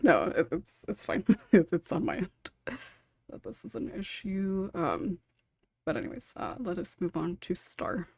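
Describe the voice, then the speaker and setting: A woman hosts a casual panel discussion in a slightly low voice. She is apologetic and reassuring, prone to giggling as she moderates. The sound is dry and close. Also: animated, measured pace, vocal fry, a little mellow, husky, dry recording. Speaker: podcast host and moderator.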